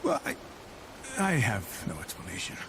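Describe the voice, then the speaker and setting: A man's voice: mid-range voice, wildly swooping pitch, moderate pace, vocal fry, background noise. A man playing an earnest, noble, big-hearted thunder god in a video game, grave and formal in his delivery. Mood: sheepish. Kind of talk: dramatic video game dialogue.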